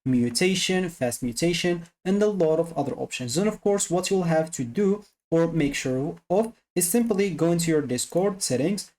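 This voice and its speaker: A presenter giving a casual, step-by-step software tutorial, repeating phrases while explaining. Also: mid-range voice.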